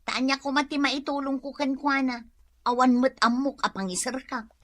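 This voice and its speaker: A woman's voice: high-pitched, fairly even intonation, moderate pace, a bit bright. A woman playing a proud, coy single woman in an Ilocano comedy radio drama, full of curt questions, with an Ilocano accent. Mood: cool, doubtful.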